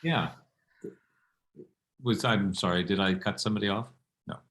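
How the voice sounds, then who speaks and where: A man speaking casually as a guest in a panel discussion, haltingly thinking aloud. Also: on the low side, a bit bright. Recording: roomy, echoey sound.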